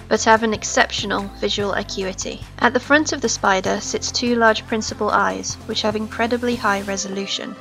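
Channel host, young woman, a bit hoarse, calm teaching delivery over light music. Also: medium pitch. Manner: steady explanatory delivery. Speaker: pet-keeping channel host. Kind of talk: informative pet-care narration. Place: home room with reptile tanks.

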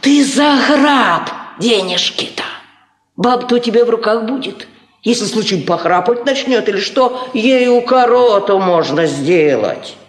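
A scheming old peasant mother in a vintage Soviet radio play. She coaxes and bosses in rustic Russian dialect, her pitch swooping widely. The studio sounds roomy. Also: lively intonation, unhurried. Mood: sly coaxing. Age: older. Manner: folksy, insistent, coaxing. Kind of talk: 1950s Soviet radio drama acting.

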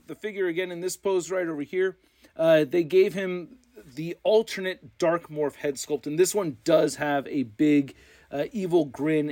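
An American man doing casual, unscripted product commentary as a channel host, chatty, excitable and slangy. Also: on the high side, no room sound. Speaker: toy review channel host.

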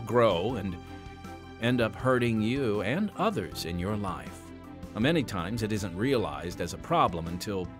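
A man hosting and narrating an audio drama podcast in a scripted, dramatic but conversational style. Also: on the low side.

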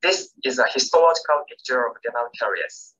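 Bright, husky man reading a lecture. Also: mid-range voice, low-quality recording. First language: Japanese. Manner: careful, measured reading with non-native grammar. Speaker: keynote speaker. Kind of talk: scripted academic lecture.

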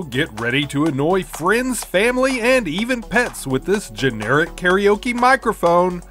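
A middle-aged man narrating like an exaggerated parody infomercial announcer, with deliberate salesman emphasis. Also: on the high side, a bit bright. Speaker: host of a parody product video.

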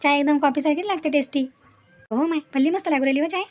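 A woman acts out a homely middle-aged mother from a cartoon family story. Her voice is high, with a Vidarbha Marathi accent, and she speaks in a gentle, reassuring way. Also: fast, close to an everyday voice. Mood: contented. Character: soothing middle-aged mother, tender, placating wife. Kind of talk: cartoon family drama dialogue.

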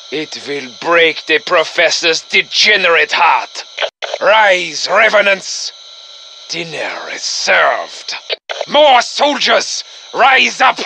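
An actor playing an arrogant, vengeful Nazi officer in a video game, with a German accent, speaking in a pompous, gloating, menacing way. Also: male voice, high-pitched, sharp tone, a little hiss or hum, full character voice, poor audio. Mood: gloating menace, then outraged. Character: villainous Nazi officer commanding undead soldiers, male, arrogant, vengeful. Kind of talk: theatrical video game villain acting.

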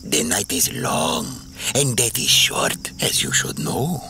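An actor playing a wily, bitter old one-eyed schemer in a full-cast audio drama, speaking slowly and cryptically with a gravelly, whispery voice. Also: male voice, on the low side, big pitch swings, bright, forceful tone, a little hiss or hum, full character voice. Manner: slow, cryptic, brooding menace.

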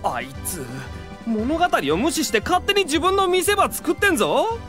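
A sardonic, put-upon teenage boy in an audio drama. His casual voice is high and swings expressively, with music behind it. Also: huge pitch swings, moderate pace, fairly bright tone, exaggerated voice. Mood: exasperated. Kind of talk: Japanese audio drama acting.

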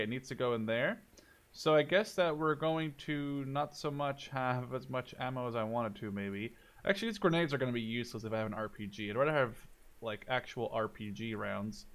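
Casual young man doing let's play commentary. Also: medium pitch. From Canada or Netherlands. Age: 20s. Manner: thinks out loud in short phrases, talking to himself. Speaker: gaming YouTuber playing and commentating.

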